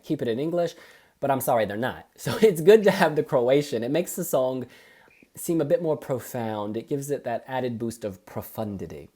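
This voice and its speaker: A woman co-hosting a casual review chat, talking in an animated, chatty and opinionated way. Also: a bass voice. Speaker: co-host.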